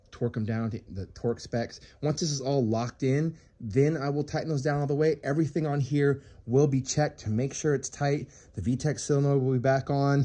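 A man's voice: mid-range voice, dark tone. A man filming himself in his home garage as he works on an engine, chatting casually about the build and joking at his own expense. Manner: chatty and self-mocking, jokey asides. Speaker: car hobbyist vlogger. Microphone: phone camera held while working.

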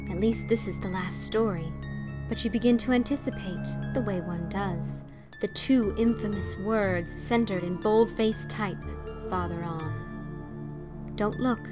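A woman's voice: medium pitch, moderate pace. A woman giving a dramatic reading of short horror stories as narrator. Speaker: story reader. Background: faint music.

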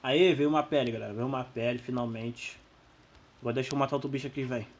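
Brazilian male gamer, mid pitch, husky and losing his voice, loose slangy play-by-play with excitable exclamations. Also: fast. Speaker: gaming YouTuber. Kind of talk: casual gameplay commentary.

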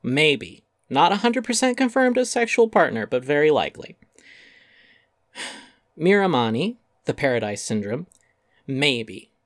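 A man's voice: on the high side, dry recording, studio quality. A man giving a dramatic reading of a critical essay, wry and articulate with theatrical emphasis. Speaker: essay narrator.

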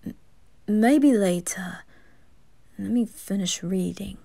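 A woman plays a young aristocratic boy in an anime audio drama. He sounds guarded and haughty, with slow, clipped, quiet lines. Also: mid-range voice, creaky. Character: reserved, haughty young noble boy, curt. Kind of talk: anime fan audio roleplay.

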